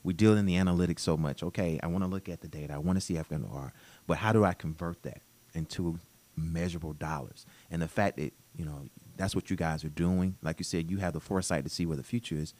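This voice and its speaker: Very deep-voiced enthusiastic male guest. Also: fast, a little mellow. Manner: enthusiastic, emphatic, stammers at starts. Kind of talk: casual interview chat.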